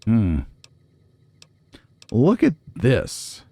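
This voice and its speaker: A man's voice: medium pitch, huge pitch swings, drawn-out words, warm tone, no echo. A man hosting a casual video game playthrough, musing aloud and making wry asides to viewers. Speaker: let's play host. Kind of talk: casual game commentary, reading and reacting aloud.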